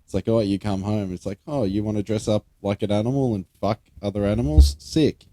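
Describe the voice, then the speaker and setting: A man's voice: lowish voice, steady tone, a little fast, dark tone. A young Australian man co-hosting a podcast, bantering casually and bluntly with plenty of swearing and slang. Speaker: podcast co-host.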